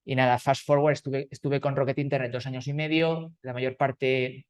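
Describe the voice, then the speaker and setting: A man's voice: medium pitch, flat delivery, normal speed. Man chatting on a call. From Spain. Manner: loose, hesitant, many fillers and restarts. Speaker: startup founder, guest. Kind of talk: conversational interview. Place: remote call.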